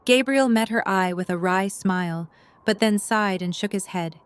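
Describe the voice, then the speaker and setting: A woman's voice: medium pitch, normal speed, smooth, no room sound. A woman reading scripted story narration for an audio drama. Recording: noisy storm ambience behind.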